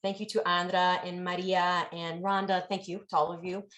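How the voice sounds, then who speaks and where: A woman's voice: on the low side, little pitch movement, moderate pace, a bit bright. A middle-aged woman introducing herself on a panel with chatty enthusiasm over a video call. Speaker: panelist, professor.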